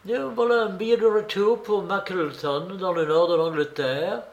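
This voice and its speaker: A man reading brisk detective, matter-of-fact, raised pitch, storybook. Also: roomy sound, dull audio, lightly acted. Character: brisk, observant male detective.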